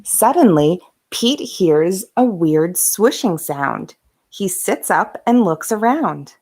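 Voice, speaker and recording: A woman narrating a children's bedtime storybook with gentle, dramatic emphasis for young listeners. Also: fairly low pitch, lively intonation, takes it slowly, breathy edge. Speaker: host of a bedtime story read-aloud channel.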